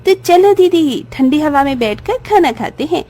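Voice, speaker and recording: Woman voicing a high, eager young cartoon girl. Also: expressive, normal speed, mellow. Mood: happy. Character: excitable young orphan sisters in a cartoon. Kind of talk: Hindi cartoon story dialogue.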